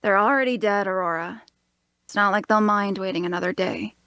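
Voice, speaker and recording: Actress as flippant tracker, medium-pitched, brisk, sardonic, impatient, dry fantasy drama recording. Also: female voice, sharp tone, clear, resonant tone, no room sound. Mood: sardonic impatience. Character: blunt, flippant, impatient tracker of an adventuring party. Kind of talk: fantasy drama table read.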